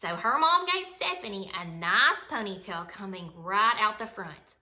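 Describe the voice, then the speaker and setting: A woman reading a children's picture book aloud as a bedtime story, in an expressive, dramatic way. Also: medium pitch, a little slow. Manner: expressive, dramatic, acts out dialogue. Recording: roomy, echoey sound.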